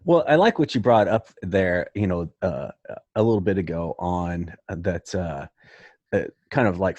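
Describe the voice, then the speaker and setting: A man's voice: low voice, darkish tone, dry recording. A man hosting a podcast in a casual, chatty, rambling conversational style. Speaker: podcast host.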